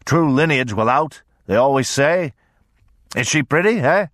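A man's voice: mid-range voice, lively intonation, measured pace, fairly bright tone, no echo, studio quality, voice pushed up. A man voicing a brassy, bullying old grandfather lord in an audiobook, boastful and mocking in manner. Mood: jovial, goading. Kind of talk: audiobook character voice.

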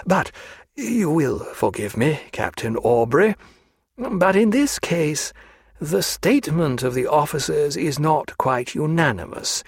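A man voicing a fussy, polite government clerk who speaks slowly and apologetically. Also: fairly high pitch, lively intonation, breathy edge, no room sound, studio quality, voice pushed up, heavily acted.

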